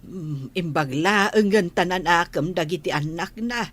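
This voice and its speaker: A woman's voice: on the low side, measured pace, fairly bright tone. A woman playing a chatty young village woman in a radio drama, often exclaiming.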